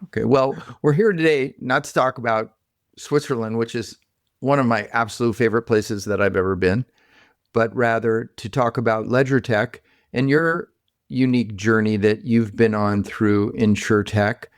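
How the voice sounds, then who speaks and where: A male podcast host asks questions in a relaxed, folksy way, dropping in "like" here and there. His voice sits at a middle pitch. The sound is dry and close. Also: normal speed, dry recording. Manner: casual, folksy, lots of "like". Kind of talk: podcast interview.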